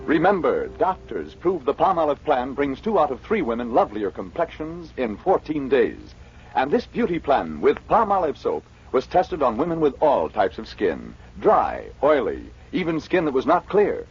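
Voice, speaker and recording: A man reading a scripted sales pitch as a 1940s radio announcer, on an old broadcast recording. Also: husky, lo-fi sound.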